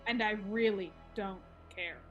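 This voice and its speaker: A woman playing a sardonic, blunt young female drummer with a deadpan manner in a table read. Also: mid-range voice, unhurried, dull audio, faint music behind, natural voice.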